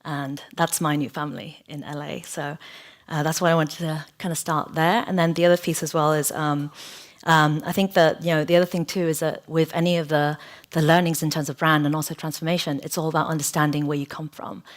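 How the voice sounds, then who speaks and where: Middle-aged woman, English accent, low voice; podium lecture in a large hall, chatty, loose. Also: flat delivery, a little fast. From Hong Kong, raised in England. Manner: frequent fillers and restarts, personal storytelling. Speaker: guest speaker, chief creative officer. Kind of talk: conversational lecture. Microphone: podium microphone.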